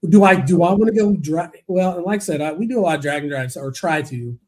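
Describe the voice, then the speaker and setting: A man's voice: fairly high pitch, quick pace, a little mellow. A man in his forties from Texas, hosting a casual podcast chat in an easygoing way and laughing often. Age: 40s. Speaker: podcast host.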